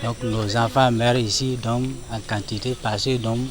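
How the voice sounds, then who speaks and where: A man's voice: medium pitch, steady tone, normal speed, music in the background. A man, a local resident giving testimony to a news camera. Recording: crowd chatter around the speaker.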